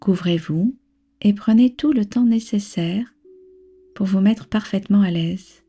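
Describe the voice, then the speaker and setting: Very slow, soft breathy woman guiding relaxation. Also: mid-range voice. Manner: soothing, unhurried, gently instructing. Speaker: yoga nidra instructor. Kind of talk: guided relaxation meditation. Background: background music.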